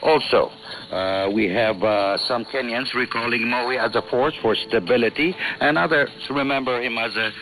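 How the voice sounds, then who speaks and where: A man's voice: mid-range voice, normal speed, pushed and bright, rough recording. A man anchoring a radio news programme, reading scripted news, heard over a shortwave broadcast.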